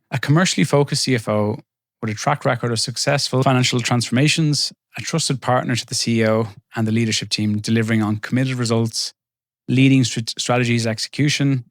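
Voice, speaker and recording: A man hosting a finance podcast, reading a scripted introduction and then chatting with his guest in a friendly, conversational way with quick asides. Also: medium pitch, no echo, clean studio sound. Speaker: podcast host.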